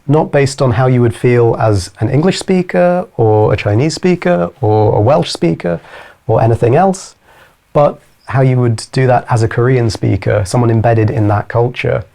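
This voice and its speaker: A man's voice: medium pitch, unhurried, vocal fry, mellow. A man on a panel answering a school question, explaining his point thoughtfully with an example. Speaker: language expert panelist.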